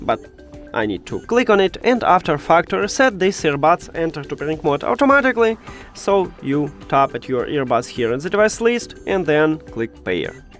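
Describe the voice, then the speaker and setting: A man giving a step-by-step tech tutorial, with hesitant, halting phrasing. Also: fairly high pitch.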